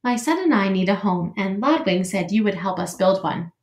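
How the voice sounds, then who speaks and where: A woman voicing a calm, polite female robot who speaks plainly and formally. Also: mid-range voice, moderate pace, lightly acted. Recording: roomy echo.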